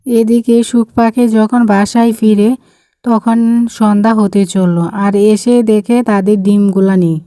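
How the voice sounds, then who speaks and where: A woman reads a children's fairytale in a soft, mellow tone. Her pitch stays quite even, and the recording is dry and close. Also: unhurried, dry recording. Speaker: storyteller, narrator. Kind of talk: scripted children's fairytale narration.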